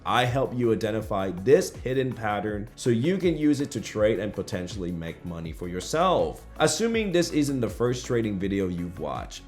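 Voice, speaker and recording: Man presenting, with very lively pitch swings. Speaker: trading instructor and video host. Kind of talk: scripted tutorial presenting to camera. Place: small indoor home studio room. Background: background music.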